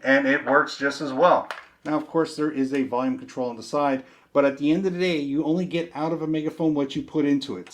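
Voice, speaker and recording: A man's voice: mid-range voice, darkish tone. A man giving a casual product demonstration, explaining step by step in a conversational, folksy way.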